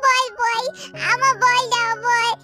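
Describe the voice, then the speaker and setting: A woman voicing a chubby little toddler boy doll in a children's cartoon, with halting, repetitive baby talk. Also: extremely high pitch, level tone, full character voice. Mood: plaintive. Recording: loud music behind the voice.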